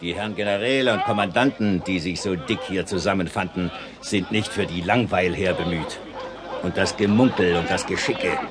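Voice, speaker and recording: An actor playing a haughty, knowing army veteran in a German radio play, self-important and declamatory. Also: male voice, deep voice, moderate pace, a crowd in the background, background noise, lightly acted. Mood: conspiratorial, knowing.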